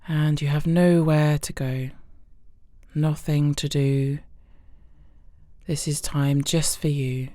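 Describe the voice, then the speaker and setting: Very low, slow-speaking woman guiding relaxation. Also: dry recording, studio quality. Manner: soothing, gentle, unhurried instruction with pauses. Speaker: guided relaxation instructor. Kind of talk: guided body scan meditation.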